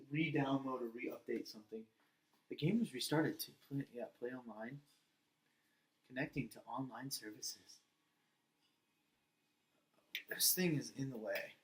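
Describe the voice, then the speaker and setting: A man's voice: mid-range voice, a little slow, long pauses, slight background noise, poor audio. A man hosting a gaming video with casual, rambling commentary, sometimes muttering along with what is on screen.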